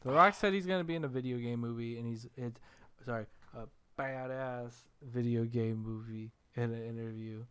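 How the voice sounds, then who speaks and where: A young man hosting a casual podcast chat about video games, telling stories in an animated, self-deprecating way. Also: medium pitch, moderate pace, clear, resonant tone. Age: young adult. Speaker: podcast host.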